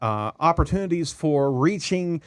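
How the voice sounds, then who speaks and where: High-voiced joking male host. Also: expressive, normal speed, clear, resonant tone, dry recording, clean studio sound. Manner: jokey, stumbling restarts, laughs often. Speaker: show host. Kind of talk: casual talk show chat.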